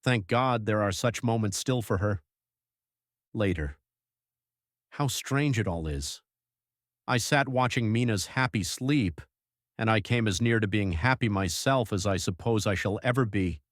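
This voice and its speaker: Low-voiced, solemn troubled husband played by a man. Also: a little slow, pauses often, dry recording, studio quality, natural voice. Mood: reflective, troubled. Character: grave, troubled, devoted young husband writing his journal. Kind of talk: dramatised audiobook narration.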